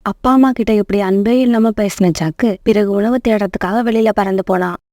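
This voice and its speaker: A woman's voice: mid-range voice, steady tone, brisk, dark tone, no room sound, studio quality. A woman narrating a scripted moral story for children.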